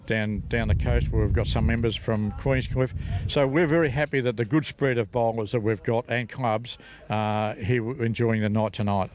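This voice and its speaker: An older Australian man giving halting, unscripted answers as a club representative in an interview. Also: mid-range voice, fairly even intonation, darkish tone.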